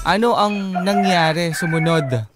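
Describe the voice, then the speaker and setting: A man's voice: on the high side, unhurried. A man with a Filipino accent co-hosting a casual radio interview, reacting and asking questions with English slang mixed in. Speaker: radio co-host. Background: background music.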